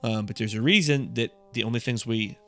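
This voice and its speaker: A man's voice: medium pitch, brisk, a bit bright. A man co-hosting a podcast, talking in a casual, rambling, conversational way. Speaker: podcast co-host. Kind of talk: casual chat.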